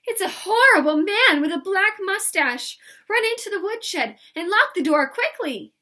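A woman's voice: extremely high pitch, lively intonation, measured pace, pushed and bright, voice pushed up, exaggerated voice. A woman voicing a bold, big-hearted little girl with blunt, unguarded emotion in a children's storybook reading. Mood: urgent, alarmed.

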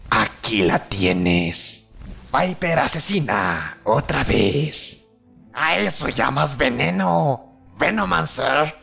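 A man with a Latin American Spanish accent voicing a menacing, malicious venomous winged serpent from a video game, with a raspy voice and a slow, sinister, dramatic delivery. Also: medium pitch, a bit bright, full character voice. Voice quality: raspy, gravelly. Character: menacing venomous flying serpent-dragon, male, gloating and malicious. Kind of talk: video game character voice lines, fandub.

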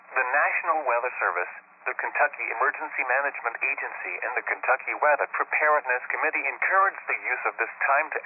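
A man reading scripted emergency test announcements as a weather radio announcer, in a formal, measured, synthetic-sounding delivery over a staticky broadcast. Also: medium pitch, narrow, tinny audio, slight background noise.

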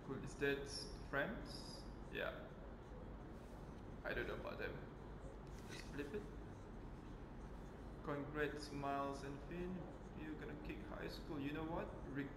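A man's voice: fairly high pitch, steady tone, unhurried, pauses often, heavy background noise. A man with a Malaysian accent giving casual gameplay commentary, thinking aloud in loose English. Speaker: gaming channel host. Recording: roomy echo, game music behind.

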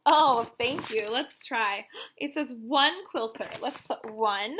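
Female teacher, 30s, very high bright voice, animated picture-book read-aloud for little kids. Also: slow pace, slight background noise, rough recording. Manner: animated, exaggerated wonder, addressing young children with questions. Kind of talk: children's read-aloud counting lesson.

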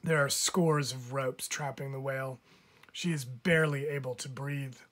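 A man reading a children's picture book aloud like a teacher, with expressive emphasis. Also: mid-range voice, normal speed. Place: indoors, book filmed from above.

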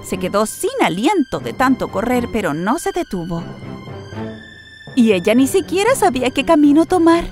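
A woman narrating a scripted children's story in an expressive storytelling manner. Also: high voice, moderate pace, over music.

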